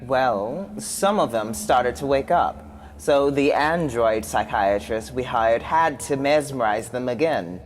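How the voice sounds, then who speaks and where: A man's voice: mid-range voice, takes it slowly, slight background noise, close to an everyday voice. A man playing a fawning, gossipy space commander in a campy sci-fi comedy sketch, deferential to a boss. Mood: reporting. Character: fawning, chatty space commander minion to a despot.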